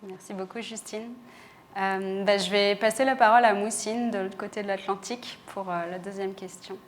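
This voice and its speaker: A woman's voice: mid-range voice, steady tone, normal speed, a little hiss or hum. A woman moderating a panel, passing the floor in a friendly, informal way.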